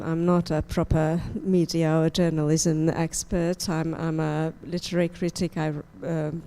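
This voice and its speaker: Low, even-toned woman on a panel, slow and mellow, smooth voice. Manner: measured, hesitant, with fillers and repeated words. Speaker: panelist, literary critic. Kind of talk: panel discussion.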